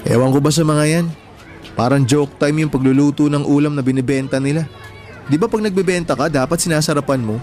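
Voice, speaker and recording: A man's voice: a little high, a little fast, darkish tone, soft background music, lightly acted. A man playing an earnest, humble, hardworking young husband in a radio drama, talking in a mild conversational way. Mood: easygoing. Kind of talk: Tagalog radio drama dialogue.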